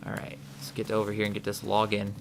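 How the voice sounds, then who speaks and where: Gravelly man narrating gameplay. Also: fairly low pitch, completely flat delivery, fast talker, background noise. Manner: thinks aloud, reads in-game notes aloud. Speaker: let's play host. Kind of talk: casual gameplay commentary.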